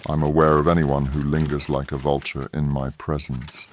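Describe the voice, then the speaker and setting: An actor playing a proud, caustic professor in an audio drama, speaking formally with cold sarcasm. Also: male voice, rumbling low, flat delivery, moderate pace, a little hiss or hum. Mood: cold disdain. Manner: formal, disdainful, clipped sarcasm. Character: proud, caustic wounded male professor and resistance fighter.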